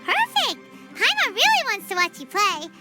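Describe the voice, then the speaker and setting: A woman voicing a tiny, excitable floating girl companion who talks about herself in the third person, in a video game cutscene. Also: very high voice, moderate pace, exaggerated voice. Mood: excited. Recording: game audio with music behind.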